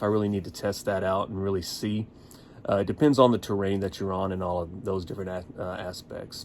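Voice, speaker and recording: A man's voice: on the low side, darkish tone, slight background noise. An American man giving a casual, unscripted gear review in a folksy, explanatory manner. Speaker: product reviewer.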